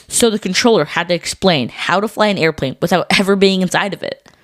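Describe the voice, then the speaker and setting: Low-voiced woman co-hosting an educational podcast. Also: brisk, dry recording, studio quality. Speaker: podcast co-host. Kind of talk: scripted educational podcast for children.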